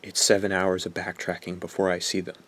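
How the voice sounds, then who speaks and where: A man's voice: sits low, completely flat delivery, brisk, a bit bright. A man playing a bitter, guilt-ridden young man who narrates a horror radio drama in a brooding, self-loathing way. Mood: grim, resigned.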